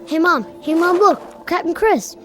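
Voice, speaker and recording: A performer playing an excitable, playful little boy in a radio drama, with a drawn-out, pleading way of talking. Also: very high voice, faint music behind. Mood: excited, pleading.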